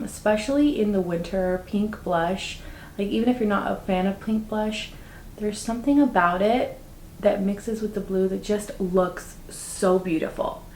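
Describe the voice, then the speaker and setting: A chatty woman talks through her makeup routine with a slightly raspy, just-woken-up voice. She sounds upbeat and speaks casually, full of fillers. The room echoes noticeably, with a faint ambient hum behind her. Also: medium pitch, a little mellow. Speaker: beauty vlogger. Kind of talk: casual makeup tutorial talk.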